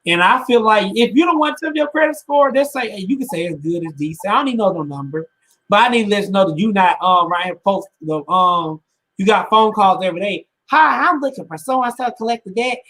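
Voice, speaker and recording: A woman's voice: mid-range voice, lively intonation, fairly quick, fairly bright tone. A woman in her twenties, a podcast co-host, giving blunt, emphatic opinions in slangy casual chat. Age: 20s.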